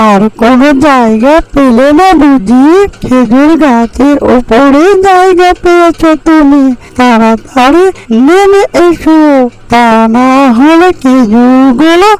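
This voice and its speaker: A performer voicing a small, hopeful, hardworking bird in a fairy-tale cartoon, with drawn-out storytelling delivery. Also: high voice, expressive, a little mellow, poor audio. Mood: alarmed, scolding. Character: Tuni, small hopeful hardworking bird guarding her date palms.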